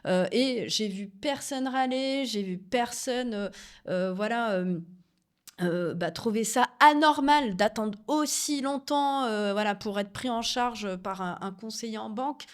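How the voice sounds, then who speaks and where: A woman's voice: fairly high pitch, unhurried. A middle-aged woman from the Paris region, bilingual in French and Portuguese, chatting casually with many fillers and restarts. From France, Paris region. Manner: hesitant, many fillers and restarts, laughs easily. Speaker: co-host sharing expat experience. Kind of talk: casual conversation.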